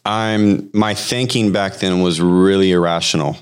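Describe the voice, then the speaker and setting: Deep-voiced man in his thirties, podcast guest sharing his testimony; loose and halting, lots of fillers. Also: steady tone, smooth. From Hawaii. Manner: halting, frequent fillers and restarts. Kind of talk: personal testimony, conversational storytelling.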